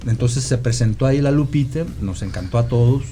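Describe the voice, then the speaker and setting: Mellow, halting Mexican man reminiscing. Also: medium pitch, a little hiss or hum. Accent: Mexican, from Guadalajara. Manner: halting, meandering storyteller who seeks confirmation with "¿no?". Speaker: podcast guest, rock musician. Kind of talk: casual reminiscing conversation.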